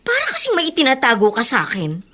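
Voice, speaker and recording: An actress playing a strict, protective, worried older sister in a Tagalog radio drama, questioning earnestly with a Filipino accent. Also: female voice, high voice, wildly swooping pitch, fast talker, fairly bright tone, slight background noise, full character voice. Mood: suspicious.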